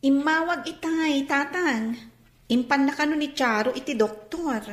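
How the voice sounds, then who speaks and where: A woman's voice: sits high, measured pace, reverberant. A woman playing an observant younger family member in a radio drama. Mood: earnest. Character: high-voiced, observant younger relative reporting news.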